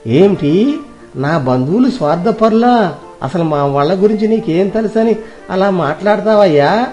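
A man's voice: high-pitched, expressive, moderate pace, darkish tone, soft background music. A man playing a proud, naive householder in a moral story, challenging and indignant in manner. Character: proud, naive householder devoted to his relatives. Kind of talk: Telugu moral story dramatization.

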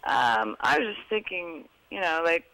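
A woman's voice: on the low side, very animated, a little slow, gravelly, bright, forceful tone. A young woman calling in to a live show, hesitant and full of fillers. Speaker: caller. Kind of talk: phone-in call. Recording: phone line.

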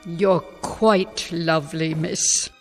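An actress playing a modest, gentle elderly wife in a radio drama, marveling and self-effacing. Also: female voice, on the low side, fairly even intonation, slow, faint music behind. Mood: admiring. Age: older.

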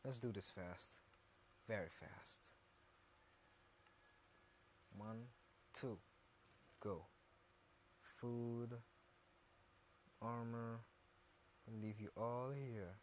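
A man with a deep voice giving casual gameplay commentary, repeating words as he mutters to himself. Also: steady tone, extremely slow, long pauses, slight background noise. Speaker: gaming video host.

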